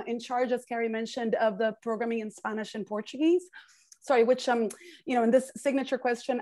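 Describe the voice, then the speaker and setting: A woman's voice: fairly high pitch, a little mellow. Gracious woman hosting a video event. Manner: gracious, welcoming. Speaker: host, program organizer. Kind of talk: formal event introduction. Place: home room with bookshelves, indoors. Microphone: earbud headset on video call.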